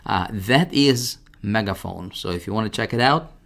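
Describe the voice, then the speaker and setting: A man presenting a casual, informal software tutorial, talking directly to the listener and often checking that they follow. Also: lowish voice, expressive, normal speed, gravelly. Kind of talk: casual screen-share product walkthrough.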